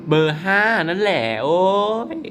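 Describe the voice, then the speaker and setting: A young man commentating his own mobile werewolf game in a chatty, excitable way, polite but slipping into laughter. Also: high voice, measured pace, slight background noise. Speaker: gaming YouTuber.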